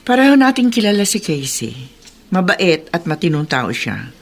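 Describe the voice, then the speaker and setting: An actress playing a calm, middle-aged aunt in a Tagalog radio drama, measured and reassuring, with a Filipino accent. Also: female voice, fairly low pitch, a little hiss or hum. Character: calm, reasonable aunt who doubts suspicions.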